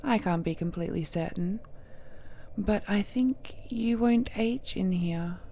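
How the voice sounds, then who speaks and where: Casting: woman as ancient cursed goddess, possessive romantic villain. Unhurried, mellow, intimate delivery that sinks into breathy whispers. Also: medium pitch, a little hiss or hum, lightly acted. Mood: tender, possessive. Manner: slow, intimate, often drops to whispers. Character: ancient cursed deity, possessive, tender yet menacing villainess. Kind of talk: romantic villain audio roleplay monologue.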